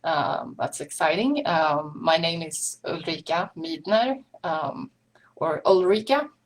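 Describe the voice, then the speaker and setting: Swedish lady chatting on a livestream, lowish voice, takes her time, lots of ums. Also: expressive, takes it slowly. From Sweden. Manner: chatty, many ums and uhs, small laughs. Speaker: dietitian hosting a live online meetup. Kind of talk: casual live-stream chat.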